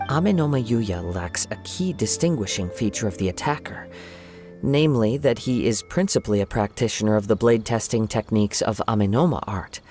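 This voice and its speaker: An actor voicing a calm, thoughtful young wandering swordsman in a video game, speaking in measured, formal phrases. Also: mid-range voice, lightly acted. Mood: pensive, composed. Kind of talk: video game voice acting, scripted dialogue. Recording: game music behind the voice.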